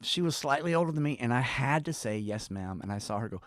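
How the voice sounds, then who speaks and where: A man's voice: medium pitch, expressive, quick pace, fairly bright tone, dry recording, studio quality. An older man from Georgia with a Southern US accent, telling anecdotes casually as an interview guest, starting and stopping and acting out bits of dialogue. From Deep South, Georgia, United States. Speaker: professional storyteller, guest.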